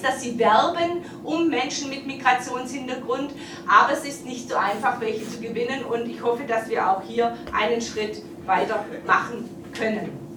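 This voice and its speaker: A woman giving a formal, earnest welcome speech as a local official opening an exhibition. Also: a little high. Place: event hall. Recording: echoey hall, distant, noisy.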